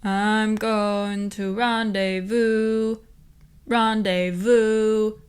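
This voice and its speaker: A woman voicing a cheerful machine voice that sings loudly and slowly. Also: medium pitch, flat delivery, clean tone. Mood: singing. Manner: caterwauling, slow drawn-out singing.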